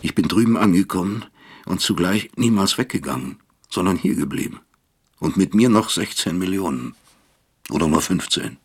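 A weary older professor speaks in a very low, gravelly voice with a husky grain. He is brooding and grave, narrating his own troubled conscience in a radio drama. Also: animated, normal speed, full character voice.

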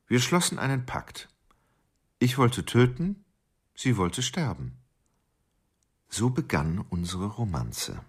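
An actor playing a sardonic murderer who recounts a deadly romance in a radio play, wry and matter-of-fact. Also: male voice, medium pitch, sing-song, slow, long pauses, slightly rough, heavily acted. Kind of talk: first-person radio play narration.

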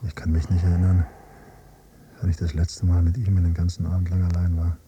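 An actor playing a brooding, detached adult son in a radio drama, narrating in terse, subdued phrases. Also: male voice, deep voice, monotone, brisk, warm tone, close to an everyday voice. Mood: brooding disgust. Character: brooding, detached adult son, quietly disgusted by his father. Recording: noisy, humming cassette copy.

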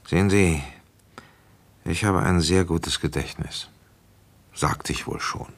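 An actor playing a weary, shrewd provincial policeman recounting an old case in a radio play, deliberate, conversational and wry. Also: sits low. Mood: wry, reminiscing. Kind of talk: German radio play, first-person narration and dialogue.

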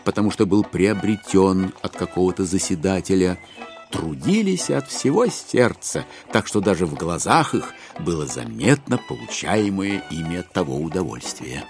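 Guy narrating a classic novel, swoopy expressive delivery, music playing behind him. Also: low voice, normal speed. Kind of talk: literary audiobook narration, expressive storytelling.

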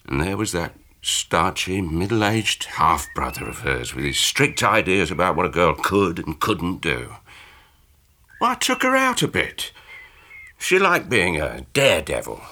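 A man's voice: low-pitched, very animated, a little slow, sharp tone, full character voice. An actor playing a defensive, resentful middle-aged British businessman in a radio drama, with a hesitant, bitter manner. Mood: wistful.

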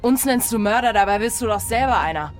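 A woman's voice: fairly high pitch, lively intonation, fast talker, lightly acted. Woman playing a bold, proud pirate woman over music. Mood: impassioned. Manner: defiant, probing, self-assured. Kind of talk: radio drama acting. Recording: music behind the voice.

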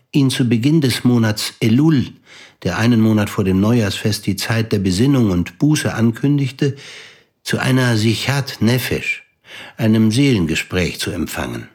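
A man's voice: on the low side, a little mellow, husky. A man narrating an audio drama from a script in a composed, matter-of-fact manner.

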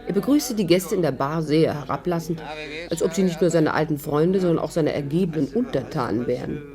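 A woman's voice: low-pitched, brisk, crowd noise, lightly acted. An actress playing a shrewd young woman who narrates her adventure in a composed, wry way in a 1950s radio drama. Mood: wry, watchful. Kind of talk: scripted narration, 1950s German radio play.

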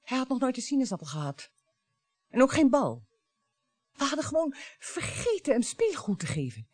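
Cutting bourgeois wife in a radio drama, pointed phrasing, very expressive, close dry sound. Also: female voice, sits high, moderate pace, slightly rough, dry recording, exaggerated voice. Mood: reproachful. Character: cool, cutting French bourgeois wife, a regretful mother. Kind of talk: radio play dialogue.

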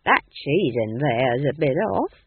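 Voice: medium pitch, very animated, husky, no room sound, voice pushed down, heavily acted. A narrator voicing a cheeky, shameless old witch woman from the country, blunt and chatty, drawing out the words. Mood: nosy, critical. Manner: conversational, blunt, drawn-out phrasing. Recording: muffled, thin phone-like sound.

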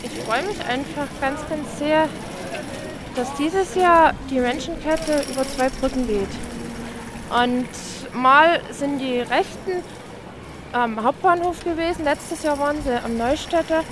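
A woman's voice: high voice, unhurried. A woman from Dresden speaks spontaneously and emphatically in a street interview, often repeating herself. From Dresden, eastern Germany. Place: city street, outdoors. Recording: noisy outdoor street recording.

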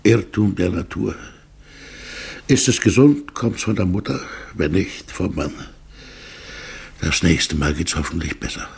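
An actor playing a brooding, mild middle-aged man in a German radio play, halting and murmuring, with a husky, creaky voice. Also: sits low, full character voice. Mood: mournful. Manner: halting, murmuring, drifting into inward monologue.

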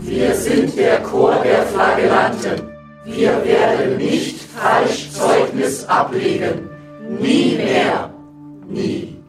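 A woman voicing a solemn chorus of penitent flagellants, chanting drawn-out ritual vows. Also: medium pitch, sing-song, far from the mic, heavily acted. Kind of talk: slow choral chanting. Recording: very echoey, loud music and crowd.

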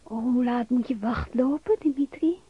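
A woman's voice: on the high side, moderate pace, slightly rough. An actress playing a passionate, yearning young bride in a radio drama, sighing as she talks. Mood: amorous, yearning. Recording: dull radio recording, faint noise.

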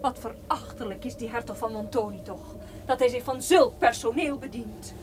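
A woman's voice: a little high, normal speed, a little mellow, slight background noise, lightly acted. A woman playing a young captive noblewoman, fearful, impatient and proud, in a gothic radio play. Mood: disdainful.

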